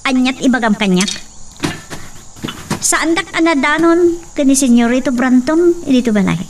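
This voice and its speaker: Radio drama heroine played by a woman: young, defiant, high voice, Ilocano accent, slightly distant recording. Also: expressive, normal speed. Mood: defiant, confronting. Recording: distant mic, faint background noise.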